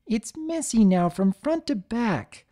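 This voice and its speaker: A woman voicing a little girl pony who squeaks and whines in a high, excitable voice. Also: slow pace. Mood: whiny. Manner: high-pitched squeaking and whining.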